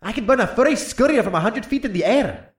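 A woman's voice: on the high side, lively intonation, quick pace, natural voice. A woman voicing a boastful, teasing male fire dragon in an audiobook, with an echoing, reverberant sound. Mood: cocky, teasing. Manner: boastful, playful. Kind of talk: audiobook character acting.

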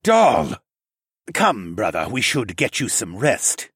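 Guy doing a stoic dino warrior lord for a fantasy story, rumbly, formal, slow n measured. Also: animated, bright, forceful tone, breathy edge, dry recording, studio quality, exaggerated voice. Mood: protective, calm. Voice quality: deep, rumbling. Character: stoic, honor-bound reptilian dino man warrior regent.

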